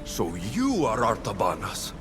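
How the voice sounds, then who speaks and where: An actor playing a hot-tempered, blunt young Greek warrior, forceful and challenging, in a video game drama. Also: male voice, lowish voice, wildly swooping pitch, unhurried, music in the background. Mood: accusing.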